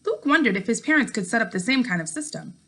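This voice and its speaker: A woman teacher reading a story aloud to her class in an expressive way, with casual remarks. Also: fairly high pitch, quick pace.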